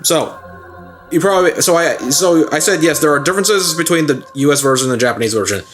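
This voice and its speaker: A man's voice: a little high, quick pace, fairly bright tone, soft background music. A young man in his twenties co-hosting a gameplay video, chatting casually with laughs and rambling restarts. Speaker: let's play co-host.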